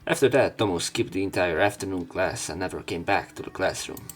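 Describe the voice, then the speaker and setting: Low-voiced man reading a visual novel aloud. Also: fairly quick, faint music behind. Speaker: let's player. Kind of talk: let's play commentary, reading visual novel text aloud.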